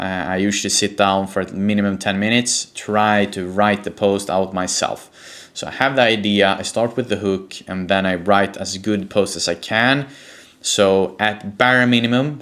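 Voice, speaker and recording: A man's voice: on the low side, fairly bright tone. A man from Sweden with a Swedish accent, explaining things informally as an online coach and stressing his key points. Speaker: presenter, personal branding coach for real estate agents. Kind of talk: explanatory talk to camera.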